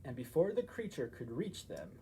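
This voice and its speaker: A man narrating a children's story in an animated style, as a story time reader. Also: medium pitch, moderate pace, slight background noise, rough recording.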